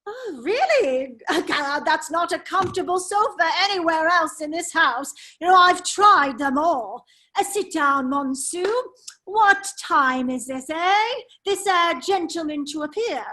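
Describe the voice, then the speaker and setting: A very high-pitched, foppish English nobleman acted by a woman in a radio play. The delivery is slow and affected, full of dithering exclamations and idle teasing. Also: lively intonation, sharp tone, full character voice. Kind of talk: radio play table read.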